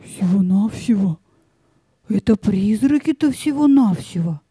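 A woman's voice: mid-range voice, lively intonation, a little slow, mellow, slightly rough, no room sound. A woman voicing a cowardly, fat, living loaf of bread in a fairy-tale audio play, timid and stuttering. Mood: aghast.

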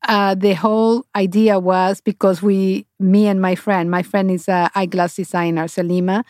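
Halting Honduran-accented woman telling her story. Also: mid-range voice, little pitch movement, a little mellow, dry recording, studio quality. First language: Spanish. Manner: halting, searching for words. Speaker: interview guest, entrepreneur. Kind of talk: conversational personal storytelling.

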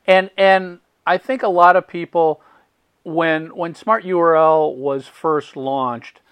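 A man hosts a podcast in a fairly high voice, chatty and friendly, with ums and restarts. The recording is dry and close, with no room sound. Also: fairly even intonation, takes it slowly, fairly bright tone, clean tone, dry recording. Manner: friendly, conversational, with ums and repeats. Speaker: podcast host. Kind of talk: casual interview talk.

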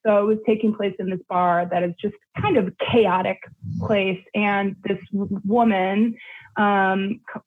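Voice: mid-range voice, vocal fry, husky. A young adult reading a poem aloud, then chatting casually about it, rambling with many fillers. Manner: rambling, heavy with fillers in chat. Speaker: poet and guest. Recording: tinny phone-like line.

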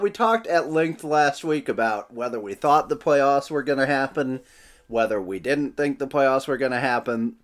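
A man's voice: medium pitch, a bit bright. A man with an American accent co-hosting a podcast, chatting casually and jokily about sports in a wry, bantering way. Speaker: podcast co-host. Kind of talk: casual joking sports chat.

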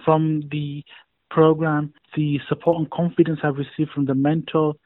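A man speaks sincerely and deliberately. His voice sits a little high. The audio is dry and narrow, like a phone line. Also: flat delivery, no echo. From Yorkshire, England. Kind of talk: recorded testimonial.

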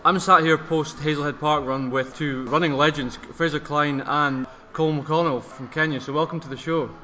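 A man's voice: a little high, steady tone, normal speed, muffled recording, music in the background. A man co-hosting a running podcast and interviewing a guest, chatty and rambling in casual chat.

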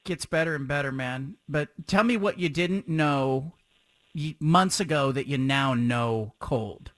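A man hosting a sports talk radio show, chatty and energetic, asking long rambling questions. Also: on the high side, unhurried, no room sound.

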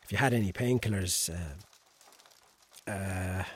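A man's voice: low voice, unhurried, husky. A man acting in a naturalistic audio drama, playing a drunk or hungover young man with an Irish accent, rambling and hesitant in slangy speech. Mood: hungover, awkward. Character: drunk, hungover young man after a one-night stand.

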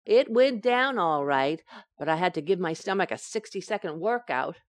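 An actress playing a playful, teasing wife who coaxes and soothes in an old-time radio sitcom. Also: female voice, on the low side, lively intonation, moderate pace, close to an everyday voice. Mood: wary. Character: playful, teasing young wife, coaxing and unflappable.

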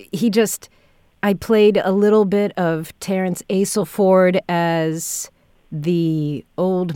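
A woman director hosting a casual podcast interview, thinking aloud in halting phrases. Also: lowish voice, a little mellow, clear, resonant tone, no room sound, clean studio sound. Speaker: audio drama director and interviewer.

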